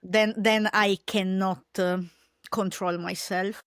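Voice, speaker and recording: Italian woman guest, non-native English, pauses and restarts, mid pitch, dry close sound. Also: steady tone, fairly bright tone, no room sound. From Italy. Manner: halting, many fillers and restarts in English. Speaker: podcast guest. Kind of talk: casual interview chat.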